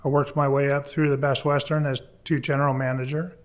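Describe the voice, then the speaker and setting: A man's voice: mid-range voice, flat delivery, a little fast. A man in his sixties from Oregon, a council candidate plainly recounting his background at a forum. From Oregon, USA.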